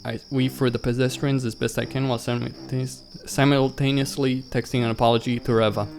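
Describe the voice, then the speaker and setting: A man's voice: medium pitch, little pitch movement, a little fast, creaky. A man hosting a let's play, reading a visual novel aloud and stumbling over words now and then, with casual side comments.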